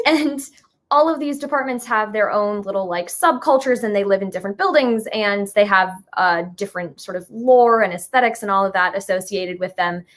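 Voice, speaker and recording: A woman's voice: medium pitch, moderate pace, a bit bright. Female, 20s, chatty and informal interview guest with a mid-range voice. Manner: chatty, informal, hedging with "like" and "sort of". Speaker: musical writer and guest being interviewed. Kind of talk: casual interview chat.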